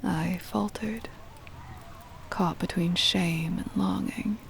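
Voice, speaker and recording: A woman voicing a centuries-old possessive, doting vampire mistress in an intimate ASMR roleplay, whispery and breathy, with tender, formal old-fashioned phrasing. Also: lowish voice, fairly even intonation, slow pace, a little hiss or hum, heavily acted. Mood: wistful, longing. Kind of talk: intimate ASMR roleplay, scripted dramatic monologue.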